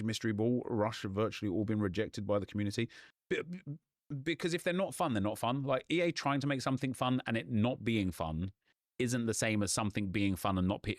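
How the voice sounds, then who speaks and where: Brief: young male gaming streamer, a bit hoarse, low voice, rants casually, dry close mic. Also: dry recording. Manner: chatty, ranting, quick asides. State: slightly hoarse.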